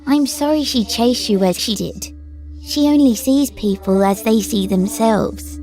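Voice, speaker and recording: A soft, kind young girl with a gentle, soothing way of speaking, played by a woman. Her voice sits a little high, and music plays underneath her in this audio drama. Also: unhurried. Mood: apologetic.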